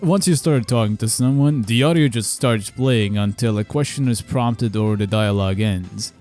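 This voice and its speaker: A man narrates a scripted video in a medium-pitched voice, with music playing underneath. His delivery is sardonic and self-deprecating, like a game developer walking through his own project. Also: darkish tone.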